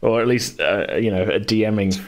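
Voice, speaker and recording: Podcast host and game master: British man, low voice, loose, rambling, jokey conversational delivery. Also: moderate pace. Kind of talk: casual chat about a tabletop game.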